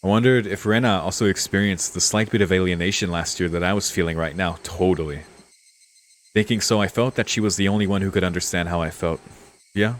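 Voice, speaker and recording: A man with a low-pitched voice works through a story game as a let's player. He reads narration aloud and muses on it casually, in a laid-back way. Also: fairly quick. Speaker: let's-play streamer. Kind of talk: reading game text aloud with casual commentary.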